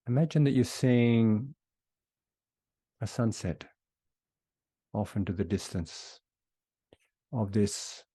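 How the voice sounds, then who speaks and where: A man leading a guided meditation in a soothing, unhurried way with long pauses between phrases. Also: on the low side, warm tone, husky, no room sound.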